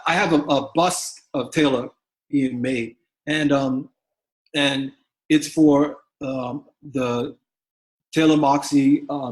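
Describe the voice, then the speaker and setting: A man's voice: mid-range voice, darkish tone, poor audio. A middle-aged man speaking as an interview guest, a father reflecting earnestly with many restarts and fillers.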